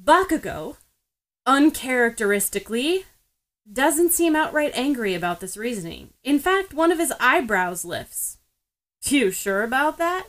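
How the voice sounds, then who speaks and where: A woman's voice: high voice, sing-song, measured pace, fairly bright tone. A woman reading scripted story narration as a podfic narrator.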